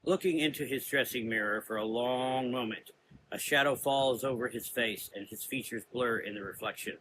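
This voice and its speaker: A man delivers scripted gothic horror narration in a bright, forceful voice. Clear background ambience and noise sit behind his storytelling. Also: mid-range voice, moderate pace, rough recording.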